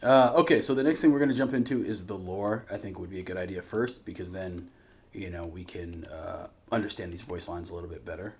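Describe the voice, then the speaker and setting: A man hosting a reaction show with casual, conversational commentary, often trailing off quieter. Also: deep voice, brisk.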